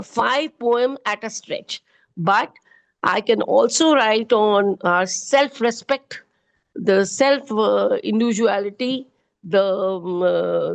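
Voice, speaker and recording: A middle-aged woman with an Indian accent, a poet and educator answering interview questions earnestly, often pausing with fillers. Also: on the high side, creaky. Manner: earnest, hesitant with frequent fillers.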